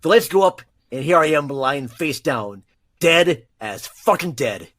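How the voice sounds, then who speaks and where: A man playing a scheming blackmailer who narrates a murder mystery audio drama in a deliberate, dramatic manner. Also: a little high, lively intonation, a bit bright. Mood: darkly comic. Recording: music bed under dialogue.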